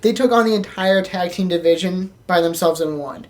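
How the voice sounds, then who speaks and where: Woman, medium pitch, podcast co-host, casual fan chat, agreeable. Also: moderate pace. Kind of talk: casual chat about pro wrestling.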